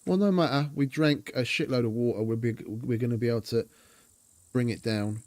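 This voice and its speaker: A man's voice: mid-range voice, fairly quick, darkish tone, dry recording. A man giving casual commentary while streaming a game, thinking aloud and talking to his chat. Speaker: gaming streamer.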